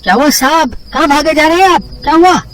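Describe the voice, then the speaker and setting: A man playing a servile, fearful old caretaker who gives slow, ominous warnings in a horror audio story. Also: extremely high pitch, animated, recorded from a distance, background noise. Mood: alarmed.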